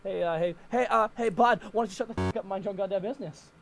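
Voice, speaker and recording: An irritable, foul-mouthed bully from a parody video game dub, done by a man. His voice sits high and he badgers whoever he talks to. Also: racing, music in the background. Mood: exasperated. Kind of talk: amateur parody dub outtakes.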